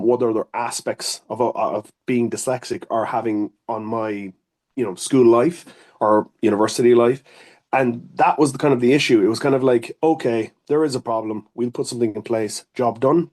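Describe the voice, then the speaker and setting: Irish male therapist, mid-pitched voice, talks earnestly, rambles and restarts, interview guest. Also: a little mellow. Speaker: occupational therapist. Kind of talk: conversational interview answers.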